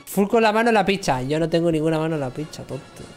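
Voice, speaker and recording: A man in his thirties jokes with his viewers in casual banter while he plays, often mocking himself. His voice sits a little high. Faint game music plays behind him as he talks into a desk mic at home. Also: animated, quick pace. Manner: joking, self-mocking. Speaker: livestream gamer. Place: room at home, seated in gaming chair.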